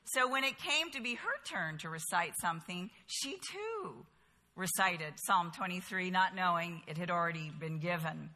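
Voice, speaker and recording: Bright-voiced woman with a Southern lilt. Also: on the low side, expressive, normal speed. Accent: light Southern US. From Bahamas, later North Carolina. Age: 50s. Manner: chatty and conversational, with humorous asides. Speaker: women's Bible study teacher. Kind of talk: casual talk to a group, then spoken prayer and storytelling. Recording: echoey hall.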